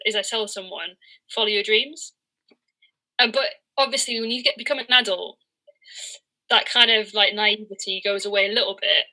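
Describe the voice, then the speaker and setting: A woman's voice: medium pitch, pushed and bright, rough recording. A woman in her early thirties giving a reflective, conversational interview, speaking candidly and hesitantly as she searches for words. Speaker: interviewed professional cricketer and coach.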